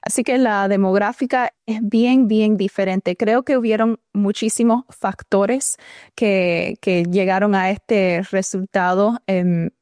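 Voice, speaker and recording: A woman's voice: mid-range voice, darkish tone, clear, resonant tone, no room sound, studio quality. A woman from Miami answering as an interview guest, conversational and often hesitant, searching for words. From Miami, United States. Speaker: interview guest, media director.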